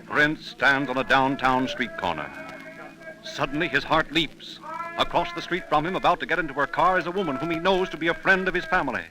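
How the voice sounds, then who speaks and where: A man's voice: a little high, expressive, brisk, a crowd in the background, low-quality recording. A man narrating a 1930s radio program in a solemn, theatrical storytelling style. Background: music.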